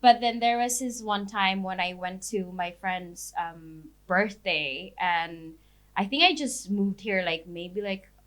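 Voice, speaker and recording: A woman's voice: mid-range voice, takes it slowly, a bit bright. A young woman from the Philippines, an Ilocano speaker, chatting casually as a podcast guest with many fillers. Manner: chatty, lots of fillers and laughter, Taglish asides. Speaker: ice cream business founder, guest.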